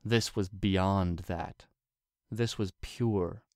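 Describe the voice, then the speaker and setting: A man narrating a story in an earnest, deliberate, dramatic reading style. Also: low voice, fairly even intonation, a little mellow, no echo, studio quality. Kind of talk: dramatic scripted narration of fan fiction.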